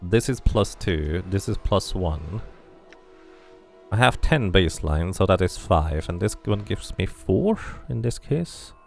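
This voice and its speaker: A man's voice: sits low, very animated, slow pace, gravelly, darkish tone, faint music behind. A man giving casual game commentary, thinking aloud as he plays and reading bits of game text out. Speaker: streamer playing and narrating a game.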